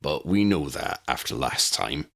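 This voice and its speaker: A man's voice: a bass voice, animated, a little slow, vocal fry, fairly bright tone, pitched below the performer's own voice, full character voice. A man voicing a big, friendly, hearty half-giant in a storybook reading. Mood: plain.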